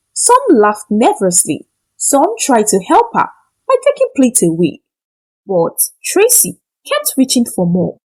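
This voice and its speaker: A woman narrating a scripted moral folktale in short, deliberate, dramatic phrases. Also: on the high side, darkish tone, breathy edge, low-quality recording. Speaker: storyteller.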